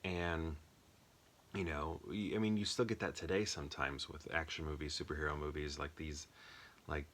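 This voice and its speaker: A man giving a casual, off-the-cuff film review, rambling and often pausing to search for words. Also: very deep voice, fairly even intonation, fairly bright tone.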